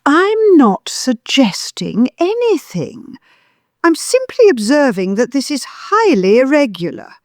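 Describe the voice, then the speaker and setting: A woman's voice: high voice, sing-song, slow, darkish tone, no room sound, clean studio sound, heavily acted. A woman playing a haughty, disapproving older mother-in-law in an audio drama, pompous and full of righteous insinuation. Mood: disdainful, insinuating.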